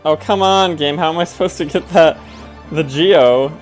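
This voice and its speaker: A man's voice: a little high, normal speed, soft background music. A man streaming a video game with casual, wry, grumbling commentary.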